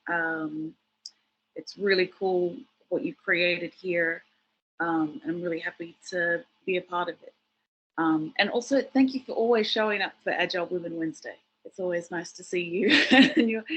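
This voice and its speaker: A woman's voice: lowish voice, a bit bright, husky, highs cut off. A woman speaking as a guest on a video call, chatting casually with lots of fillers and the odd laugh. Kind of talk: casual online talk.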